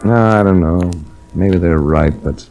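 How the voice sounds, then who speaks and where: A man's voice: low-pitched, a little slow, gravelly, a little mellow, muffled recording, faint music behind. An actor playing a dry, sardonic male insurance investigator who narrates a 1940s radio drama. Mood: wry. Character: wry hard-boiled male insurance investigator. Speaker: detective narrator. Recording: restored old radio broadcast.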